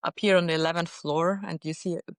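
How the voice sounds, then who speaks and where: A woman's voice: fairly low pitch, steady tone, fast, no room sound, studio quality. A young woman from Austria with an Austrian German accent, chatting reflectively as a podcast guest. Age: young adult. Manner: reflective, frequent "like" and soft laughs. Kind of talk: casual interview chat. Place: apartment on a high floor.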